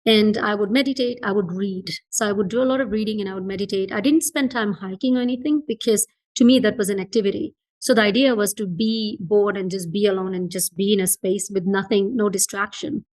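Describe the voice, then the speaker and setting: A woman's voice: medium pitch, a little fast, hardly any pauses. A woman from Fiji speaking as an interview guest in a reflective, conversational way, earnest and emphatic, repeating phrases for emphasis. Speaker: entrepreneur being interviewed as a guest.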